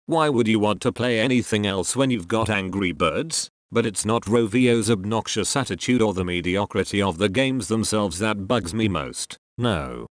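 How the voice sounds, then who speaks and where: A man with a fairly low voice performs a scripted comic rant. He sounds sarcastic and exasperated, leaning on key words, in a very dry recording. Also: dry recording. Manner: sarcastic, exasperated, deliberately emphatic. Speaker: host reading a humorous rant article. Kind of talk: dramatic reading of a scripted rant.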